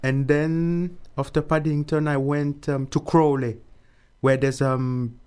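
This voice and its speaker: A man's voice: on the high side, level tone, unhurried, a little mellow, clean tone. A man from Mauritius being interviewed, talking casually and repeating words often.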